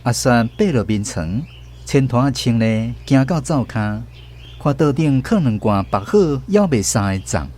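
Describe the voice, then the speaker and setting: A man's voice: mid-range voice, lively intonation, normal speed, a little mellow. A man with a Taiwanese Hokkien accent reading scripted narration for a storybook radio drama. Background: guitar music.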